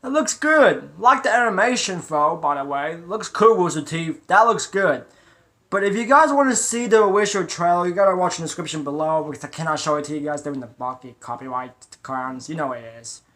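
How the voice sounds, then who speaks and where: Bright, higher-pitched man chatting casually. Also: expressive, fairly quick. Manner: rambling, repetitive, addresses viewers directly. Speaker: reaction video host. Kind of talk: casual trailer reaction chat.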